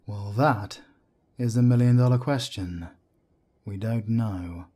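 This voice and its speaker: A man plays a grave werewolf elder on the council, his voice very low and dark. He talks slowly and solemnly, with a husky edge to every line. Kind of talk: amateur audio drama acting.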